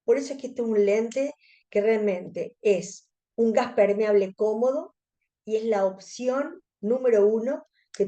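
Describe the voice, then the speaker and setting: A woman's voice: mid-range voice, mellow. A woman with a Cordobese accent from Argentina, presenting an educational webinar and explaining things to fellow specialists. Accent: Cordobese Argentine Spanish. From Córdoba, Argentina. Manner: explanatory, addressing colleagues. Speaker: presenter, contact lens specialist.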